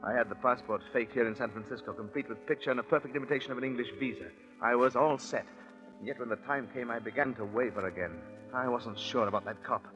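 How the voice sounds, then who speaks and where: An actor playing a nervous, confessing murderer in 1940s radio acting style, intense and dramatic. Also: male voice, mid-range voice, fast talker, lightly acted. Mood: brooding confession. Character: nervous male murderer confessing, cocky then rattled. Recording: muffled 1940s radio recording with music.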